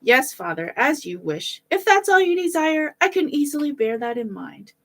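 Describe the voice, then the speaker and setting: A woman's voice: high voice, big pitch swings, moderate pace, pitched above the performer's own voice. A woman voicing a bold, naive young boy in a fairy tale, earnest and calling out boldly. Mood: earnest, untroubled.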